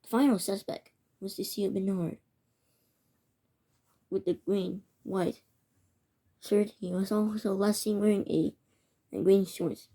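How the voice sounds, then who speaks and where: Woman, mellow voice, emergency announcer, stumbles over words, amateur broadcast. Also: medium pitch, steady tone, measured pace, pauses often.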